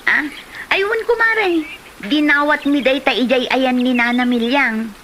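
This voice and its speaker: A woman's voice: high-pitched, a little slow, fairly bright tone, a little hiss or hum. A woman with an Ilocano Filipino accent acting a chatty village neighbour in a comedy drama. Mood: excited, gossipy.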